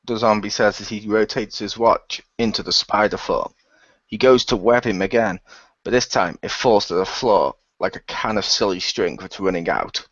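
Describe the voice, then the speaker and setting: A man's voice: lowish voice, normal speed, a bit bright, no room sound, dull audio. A man playing a role-playing game, improvising third-person narration and joking in character.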